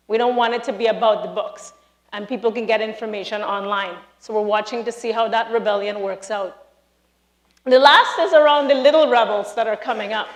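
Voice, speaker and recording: A woman from Trinidad with a Trinidadian accent, a lecturer giving a lively, emphatic live talk to an audience in a large hall. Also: fairly high pitch. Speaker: speaker, university lecturer.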